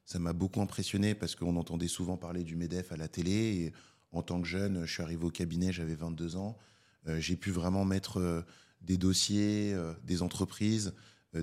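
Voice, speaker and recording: A man with a Parisian French accent talks through his career as a podcast guest. His voice sits very low and stays level, with little rise or fall. He explains at a measured pace, pausing often on hesitations. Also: a little mellow. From France. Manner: measured, filler-heavy, explanatory. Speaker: podcast guest, public affairs director. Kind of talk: casual interview talk.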